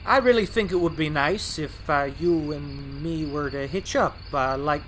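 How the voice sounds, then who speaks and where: A man's voice: on the high side, measured pace, a bit bright, a little hiss or hum. A man playing a boastful, grouchy, easily offended switcher locomotive in a fan audio drama. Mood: awkward, hopeful. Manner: brash, indignant, boastful.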